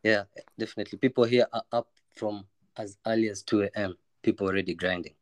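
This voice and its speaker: A man's voice: lowish voice, fairly even intonation, fast, no echo. A man with a Kenyan accent talking casually as a podcast guest, informal and sometimes searching for words. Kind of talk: casual chat.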